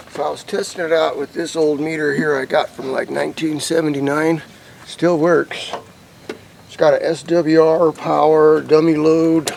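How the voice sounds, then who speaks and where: An American man giving a casual, rambling hands-on demonstration to camera, informal and thinking out loud as he goes. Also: a little high, vocal fry, a little hiss or hum. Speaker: hobbyist vlogger demonstrating CB radio gear.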